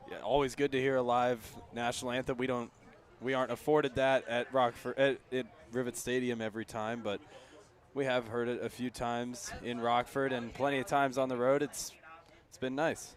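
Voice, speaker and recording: A man's voice: medium pitch, little pitch movement, normal speed. A man with an American accent chatting casually as co-commentator on a live baseball radio broadcast at a ballpark. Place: outdoors at a baseball field.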